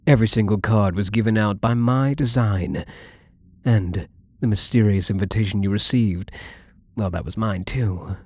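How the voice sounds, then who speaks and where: Man acting out a husky, low-voiced possessive lover. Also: darkish tone, dry recording. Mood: smug, controlling. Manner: slow-savoring, doting, menacingly tender, formal wording. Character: possessive, obsessive, wealthy male gambler, devoted and controlling lover. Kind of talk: intimate ASMR roleplay acting.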